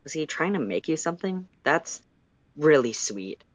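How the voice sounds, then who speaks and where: A storyteller reading second-person fiction aloud on a livestream in an expressive narrating style. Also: mid-range voice, normal speed, dry recording.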